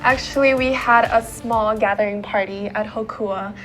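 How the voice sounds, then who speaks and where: A woman's voice: medium pitch, moderate pace, soft background music, poor audio. A young woman from Taiwan, a Mandarin native speaker, chatting casually as a guest on a TV show. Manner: relaxed, chatty, says "like" often. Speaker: pageant winner guest.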